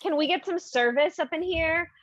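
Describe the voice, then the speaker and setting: A woman reading the part of a brash, sassy, impatient young woman in an audition scene, demanding and energetic. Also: very high voice, moderate pace, muffled recording, voice pushed up. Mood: indignant.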